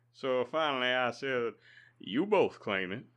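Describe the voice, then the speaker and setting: A man acting in old-time radio style as a middle-aged reverend and doting bereaved father, speaking courteously and sentimentally, a little haltingly. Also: medium pitch, animated, fairly bright tone. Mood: fond, eager.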